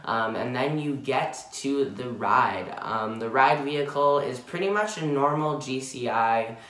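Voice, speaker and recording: A man's voice: mid-range voice, unhurried, a bit bright, recorded from a distance. A teenage boy reviewing a roller coaster in a casual, rambling way, full of ums and self-corrections, filmed in his bedroom by a camera a few feet away. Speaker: vlogger. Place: bedroom, indoors. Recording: roomy sound from off-mic.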